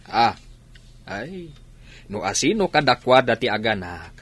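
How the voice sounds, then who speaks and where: A man playing a teasing older father figure with a husky voice in a radio drama. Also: mid-range voice, sing-song, a little slow, a bit bright, full character voice. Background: music.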